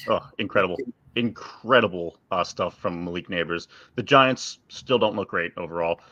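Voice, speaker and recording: A man's voice: low voice, normal speed, sharp tone, dry recording. Man with dry, slightly muffled sports banter. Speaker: sports podcast co-host.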